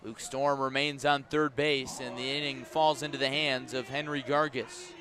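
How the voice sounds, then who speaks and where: A man calling baseball play-by-play live on the radio, measured in delivery and punchy on the action. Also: mid-range voice, flat delivery, crowd noise. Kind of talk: live radio sports commentary. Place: outdoor ballpark.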